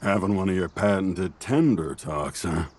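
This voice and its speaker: Casting: male actor as easygoing family friend, low-pitched, playful, wry, kind-hearted, conversational drama delivery. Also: animated, moderate pace, a little mellow, husky. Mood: teasing, playful. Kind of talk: conversational audio drama dialogue.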